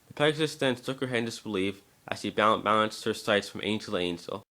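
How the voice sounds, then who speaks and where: A man's voice: fairly low pitch, fast, pushed and bright. A man giving an amateur scripted narration of a story, now and then stumbling over the words.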